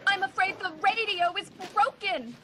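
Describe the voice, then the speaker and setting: A woman's voice: extremely high pitch, lively intonation, moderate pace, background noise. A teenage girl playing an earnest, dutiful railway control operator in a school radio play. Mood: urgent, worried.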